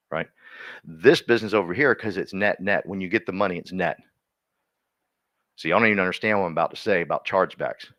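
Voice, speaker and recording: A man coaching a live workshop audience, energetic and folksy, constantly quizzing the room and drawing answers out of people. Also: low voice. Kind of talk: interactive seminar teaching.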